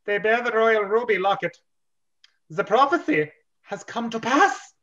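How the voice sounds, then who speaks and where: A man voicing a pompous reptilian militia captain, loud and declamatory, in an amateur script read-through. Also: high-pitched, animated, measured pace, muffled recording, voice pushed up. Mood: awed, grand.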